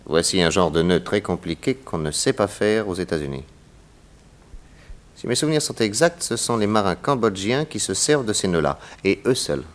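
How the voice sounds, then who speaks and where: An actor playing a shrewd, courteous detective who questions people with theatrical confidence, in a 1960s radio serial. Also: male voice, lowish voice, big pitch swings, normal speed. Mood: probing, polite. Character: shrewd, probing, confident American male detective. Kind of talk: 1960s French radio drama acting.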